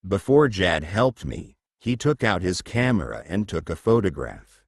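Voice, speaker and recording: Deep-voiced man reading a story slowly. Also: very animated, vocal fry, no echo, studio quality. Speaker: audiobook narrator of a children's textbook story. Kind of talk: scripted story narration.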